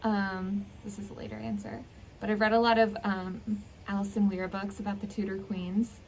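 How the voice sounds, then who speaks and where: A woman co-hosting a book vlog in casual chat, speaking haltingly with "like" scattered through her sentences. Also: medium pitch, level tone, darkish tone, slight background noise, low-quality recording. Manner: hesitant, halting delivery full of "like". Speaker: book vlogger co-hosting a Q&A tag.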